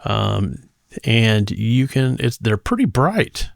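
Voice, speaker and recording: A man giving a casual, conversational product demonstration as a gadget reviewer, speaking hesitantly with pauses mid-phrase. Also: lowish voice, sing-song, vocal fry, dry recording, studio quality.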